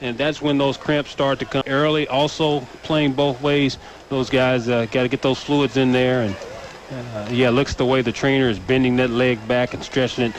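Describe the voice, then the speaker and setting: An American man giving explanatory, measured football analysis as a radio color commentator. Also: medium pitch, little pitch movement, low-quality recording. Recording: radio broadcast with faint background noise.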